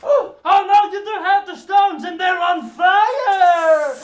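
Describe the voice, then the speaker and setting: A woman's voice: very high-pitched, takes it slowly. A woman playing a taunting, melodramatic villain in a sock puppet movie parody. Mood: mocking, panicky. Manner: melodramatic, exclaiming. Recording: echoey room, faint noise, loud shouts.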